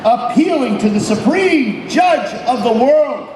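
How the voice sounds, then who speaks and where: A man giving a formal, solemn public reading of a proclamation as a ceremonial officer, projecting loudly with deliberate pauses between phrases. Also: very high-pitched, lively intonation. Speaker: military company captain giving a ceremonial reading. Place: public ceremony at an old statehouse. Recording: distant, echoey public address with background noise.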